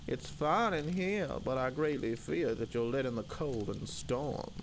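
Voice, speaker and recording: A freezing Southern prospector, acted by a man reciting verse, speaks to his pal in a folksy, plaintive drawl of dread. The words are drawn out like a storybook tale. There is clear noise behind the voice. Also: medium pitch, measured pace, close to an everyday voice. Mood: contented. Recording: loud background noise and ambience.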